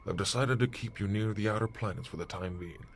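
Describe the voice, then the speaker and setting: A man's voice: lowish voice, fairly quick, slightly rough, pitched below the performer's own voice. A man playing a businesslike space fleet commander calling in by radio in a retro sci-fi audio drama. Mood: official.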